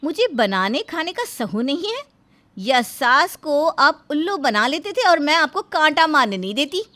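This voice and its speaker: A woman voicing a sassy, sharp-tongued young daughter-in-law in a story, sarcastic and challenging. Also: high-pitched, sing-song, a little slow, voice pushed up, full character voice. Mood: indignant.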